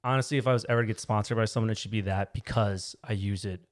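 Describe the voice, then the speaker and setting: A man's voice: fairly low pitch, fairly even intonation, fast. A young man co-hosting a podcast with his wife, chatting casually with blunt jokes and frequent swearing. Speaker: podcast co-host. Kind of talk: casual couple chat.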